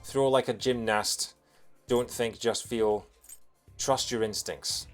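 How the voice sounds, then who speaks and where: Scottish bloke streaming a game, soft accent, jokey n punny, chatting away with faint game music behind. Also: male voice, mid-range voice, slow pace. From Bothwell, Lanarkshire, Scotland. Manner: jokey, punning, animated when reacting to the game. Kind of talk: casual live gameplay commentary with chat.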